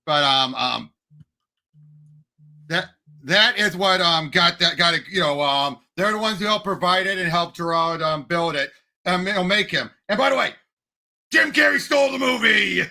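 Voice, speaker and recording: High, sharp-voiced man with a creaky edge. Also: animated. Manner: halting, repetitive phrasing. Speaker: podcast co-host. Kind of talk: casual movie discussion.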